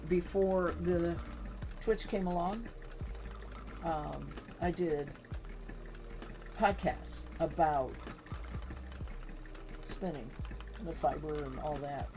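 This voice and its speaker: Woman, soft calming voice, slow relaxed chat, lowish pitch, noise in the background. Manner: unhurried, chatty, laughs easily. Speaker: livestream host spinning yarn. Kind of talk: casual chat with live viewers. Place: home crafting room with spinning wheel.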